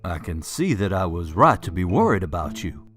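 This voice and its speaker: An actor playing a pious, self-assured preacher in a radio drama, earnest and sanctimonious in manner. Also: male voice, low-pitched, sing-song, moderate pace, faint music behind. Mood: skeptical.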